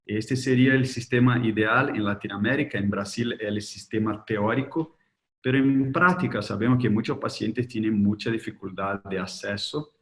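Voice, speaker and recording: Un hombre brasileño de lengua materna portuguesa, con un leve acento argentino, da una charla en un seminario web por videollamada, con habla pausada y llena de muletillas. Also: male voice, on the low side. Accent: slight Argentine. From Brazil. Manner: slow, hesitant, with many fillers. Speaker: guest speaker, ophthalmologist. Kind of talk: webinar lecture. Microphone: video call.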